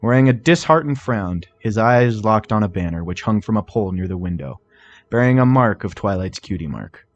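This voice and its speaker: A man reading a fan fiction story aloud in expressive scripted narration. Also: fairly low pitch, moderate pace, muffled recording.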